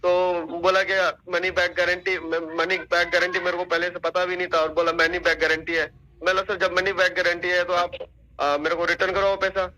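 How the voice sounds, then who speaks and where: A man on a recorded phone call making a complaint, recounting his account in a deferential, repetitive, halting way. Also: high-pitched, little pitch movement, bright, forceful tone.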